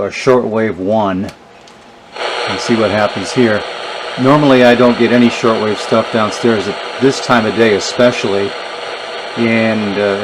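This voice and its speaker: A man giving a casual, unscripted gadget demonstration, thinking aloud in a low-key, hesitant way. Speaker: vintage radio reviewer demonstrating gadgets. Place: indoors in a basement. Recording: noisy recording with radio static. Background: radio static and hiss.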